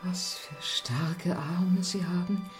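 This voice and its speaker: An actress playing an anxious, motherly middle-aged landlady in a radio play, hushed and solicitous. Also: fairly low pitch, fairly even intonation, takes it slowly. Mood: tender, solicitous. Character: anxious, motherly landlady, secretly drawn to her lodger. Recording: roomy radio drama sound with music.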